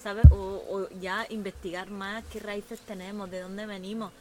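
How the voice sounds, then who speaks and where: A young woman speaks in a level, reflective way in a casual group conversation, pausing to search for her words. Loud crowd chatter surrounds her voice. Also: medium pitch, level tone.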